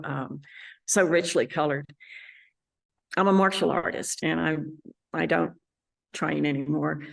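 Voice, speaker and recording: A woman's voice: low voice, gravelly. A middle-aged woman from West Texas with a West Texas accent, an artist speaking in an earnest, easygoing, reflective way in a gallery interview. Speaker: landscape painter. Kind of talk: personal interview monologue. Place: art gallery.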